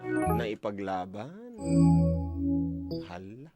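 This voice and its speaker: Loud guitar music plays under a Cebuano Filipino man hosting late-night radio. He talks slowly in a mid-range voice that swings expressively, with a playful, teasing manner. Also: on the low side, wildly swooping pitch. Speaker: radio DJ and advice show host. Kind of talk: casual late-night radio chat and advice.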